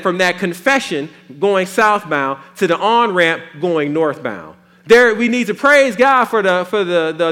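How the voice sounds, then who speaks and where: A man preaching a sermon in a folksy, animated way with playful asides. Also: sits high.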